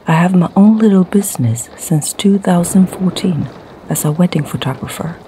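A middle-aged woman from Sweden with a Swedish accent, whispering ASMR stories softly and slowly in her home library. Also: lowish voice, slight background noise. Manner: soft, slow whisper. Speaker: ASMR creator and storyteller.

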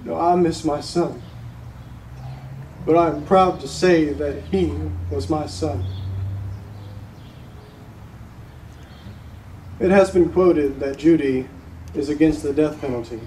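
A young man playing a solemn, resolute grieving father addressing a court in a stage monologue, with heavy pauses. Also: fairly high pitch, darkish tone, close to an everyday voice. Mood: firm resolve. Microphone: distant camera microphone. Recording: roomy, noisy, recorded from far off.

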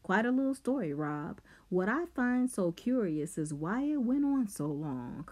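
A woman's voice: medium pitch, very animated, a little slow, a little mellow, voice pushed up. A woman doing a scheming, defiant teen boy. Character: cold scheming teenage boy, clever and defiant.

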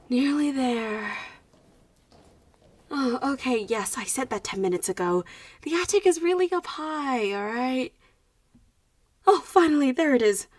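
A woman playing a teasing, haughty yet protective young vampire schoolgirl in a roleplay audio drama, speaking with deliberate phrasing and sighs. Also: sits high, animated, exaggerated voice. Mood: tired, complaining.

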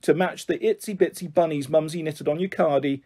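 Guy doing mean schoolgirl bully from a kids story, mocking singsong baby talk, highish and soft-toned. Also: fairly quick, husky. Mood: mock-sweet. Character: cruel, taunting schoolgirl bully.

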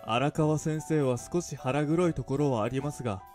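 A polite, earnest school club president from a visual novel, acted by a man, who happens to be an eel. He explains things formally and at a quick clip, with music playing behind him. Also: fairly high pitch, darkish tone, lightly acted. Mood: earnest, explaining. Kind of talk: visual novel character acting.

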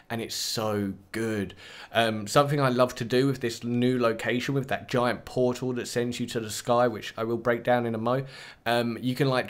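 Brief: guy, mid-pitched, eager gameplay commentary, lots of ums and likes. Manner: enthusiastic, rambling with many fillers. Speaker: gaming video creator.

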